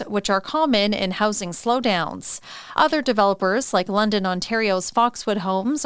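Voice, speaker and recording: A woman's voice: medium pitch, fairly bright tone, smooth, no room sound. A woman narrating a scripted news report as a reporter.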